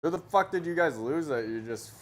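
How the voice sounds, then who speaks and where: The guy yelling and cursing at the game from the couch during a livestream watch party, faint noise around him. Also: fairly high pitch, brisk. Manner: excitable, sweary. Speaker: live game commentator. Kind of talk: casual sports banter.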